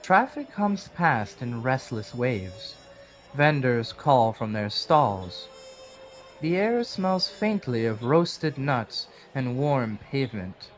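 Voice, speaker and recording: A man narrates a dark audio drama at a very slow, deliberate pace. His pitch moves expressively as he paints each scene with dramatic weight. Music plays softly behind his voice. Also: sing-song. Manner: measured, dramatic storytelling delivery. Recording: background music under the voice.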